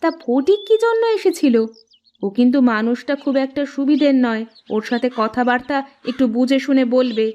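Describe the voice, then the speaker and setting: An actress playing a practical, cautious village housewife in a cartoon folktale. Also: female voice, sits high, moderate pace, mellow, highs cut off, natural voice. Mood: cautioning. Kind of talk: Bengali cartoon folktale dialogue.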